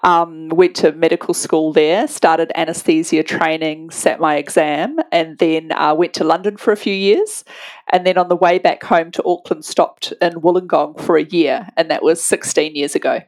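A woman's voice: low voice, steady tone, normal speed. A woman, an anaesthetist talking candidly and chattily as a guest in a podcast interview. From New Zealand. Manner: candid and chatty, laughs easily.

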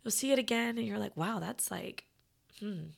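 A woman podcast host with a hoarse, raspy voice she has partly lost, talking in a halting half-whisper in a casual, reflective monologue. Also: medium pitch. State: hoarse, losing her voice.

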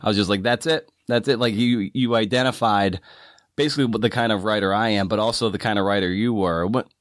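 A man hosting an interview in a casual, informal way, haltingly circling back over his phrases. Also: on the low side, no room sound, clean studio sound. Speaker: podcast host, interviewer.

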